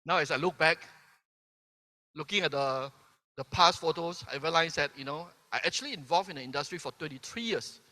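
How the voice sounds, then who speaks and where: Brief: Malaysian-born man, 50s, bright voice, convention stage with long hall reverb, keeps checking in with "right?". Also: a little high, brisk. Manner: peppers sentences with "right?", asks the crowd questions. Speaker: keynote speaker. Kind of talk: motivational convention speech.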